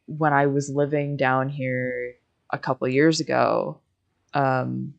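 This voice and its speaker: Young American streamer, mid-pitched voice, casual and rambling, doubles back on phrases mid-story. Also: flat delivery, slow, gravelly, lo-fi sound. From Michigan, United States. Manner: rambling, backtracking with many repeated phrases. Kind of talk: casual chat on a livestream.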